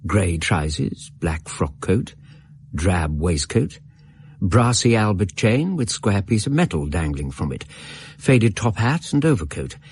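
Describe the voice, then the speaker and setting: Low husky English gentleman narrator. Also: big pitch swings, full character voice. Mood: wry, appraising. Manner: measured, formal, literary. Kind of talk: dramatised first-person narration.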